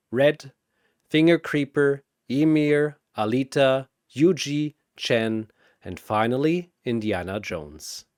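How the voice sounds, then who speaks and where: A man's voice: medium pitch, no echo, studio quality. A man narrating a scripted story in a dramatic style, as its storyteller.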